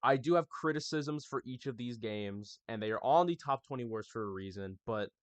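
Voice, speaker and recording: Young man, gaming channel host, casual chatty recap, mid-pitched voice, dry close sound. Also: lively intonation, moderate pace, no echo. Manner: chatty, addresses viewers as y'all. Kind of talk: casual scripted anniversary recap.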